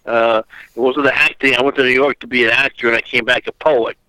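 Bright, clear-voiced older man on a call. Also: medium pitch, fairly even intonation, no echo, rough recording. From Austria. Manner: rambling, halting with many fillers. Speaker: guest author. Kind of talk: phone interview. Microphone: internet call audio.

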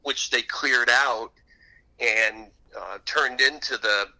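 A man's voice: medium pitch, takes it slowly, bright, forceful tone, poor audio. A man being interviewed as an author, telling anecdotes in a conversational radio style.

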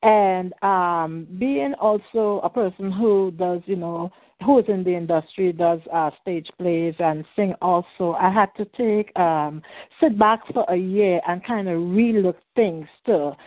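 A woman's voice: fairly low pitch, measured pace. Woman, from Trinidad and Tobago, radio caller, rambling, tinny phone line. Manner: rambling, many "um"s and "you know"s.

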